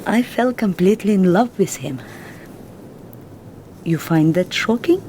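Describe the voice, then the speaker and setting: An actress with a Russian accent playing a guarded, earnest young Russian woman in a film drama who speaks in a formal, measured and reserved way. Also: medium pitch, expressive, noisy background, natural voice.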